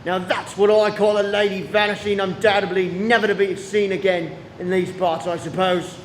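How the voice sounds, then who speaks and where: A man's voice: high voice, flat delivery, normal speed, lightly acted. A man playing a brusque, inquisitive police inspector with a wry streak in a radio drama. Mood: wry, musing. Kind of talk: radio play acting. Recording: echoey room with faint noise.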